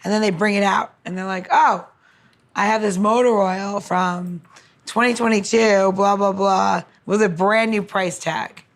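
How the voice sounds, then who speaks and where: A woman's voice: mid-range voice, steady tone, unhurried. A woman co-hosting a casual conversation, blunt and informal in how she puts her questions and remarks. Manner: blunt, informal, swears freely. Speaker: podcast co-host. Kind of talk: casual chat.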